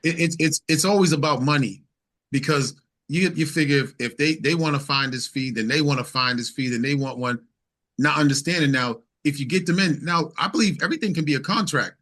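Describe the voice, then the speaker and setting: A man's voice: a little high, fairly quick, fairly bright tone. A man speaking as a singer-songwriter guest in a casual interview, emphatic and repeating phrases as he builds his points.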